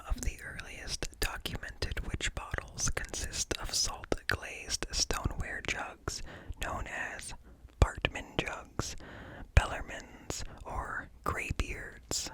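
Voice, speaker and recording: Slow whispering ASMR man. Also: low-quality recording. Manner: deliberate, close, hushed delivery. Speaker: ASMR host reading articles. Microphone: close up, ear-to-ear stereo.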